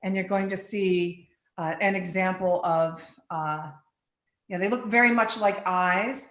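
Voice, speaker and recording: A woman from the Bahamas and Virgin Islands, a glass artist giving a casual guided tour in an informal, halting way with pauses between phrases. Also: on the low side, fairly even intonation, a bit bright. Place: living room of a home studio. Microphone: phone on a selfie stick. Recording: echoey room, live video stream.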